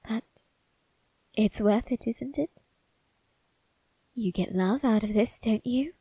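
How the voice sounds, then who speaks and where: A woman voicing a suave male vampire husband in an ASMR roleplay, coaxing softly and often whispering with quiet menace. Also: mid-range voice, dry recording, exaggerated voice, poor audio. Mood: coaxing, self-justifying. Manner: slow, soft, breathy coaxing, often whispered.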